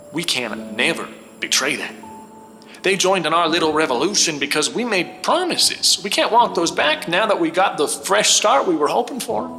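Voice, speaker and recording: An actor playing a folksy, defiant starship captain in an audio drama, addressing the crew in an informal, rambling, emphatic way. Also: male voice, fairly high pitch, sharp tone, full character voice. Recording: roomy, reverberant sound over clear music.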